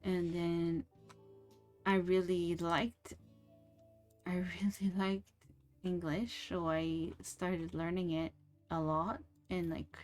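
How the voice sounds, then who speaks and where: A woman's voice: fairly low pitch, level tone, a little mellow, soft background music. A young woman whose first language is French, chatting casually and rambling with fillers while she streams a game. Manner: slow, rambling, many fillers and restarts.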